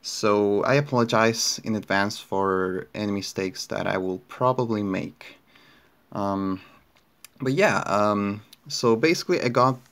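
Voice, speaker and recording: A man's voice: on the low side, fairly bright tone. A young man from Chile with a Chilean Spanish accent, casually narrating a card pack opening with many fillers and restarts. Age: young adult. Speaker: card collector opening packs. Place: indoors at a table.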